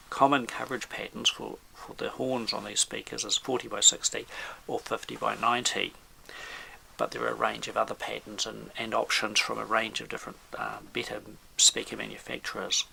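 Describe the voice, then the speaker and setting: A man giving an informal technical explainer as an expert presenter, in a halting, measured way. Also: on the low side, gravelly, bright, forceful tone, slight background noise, poor audio.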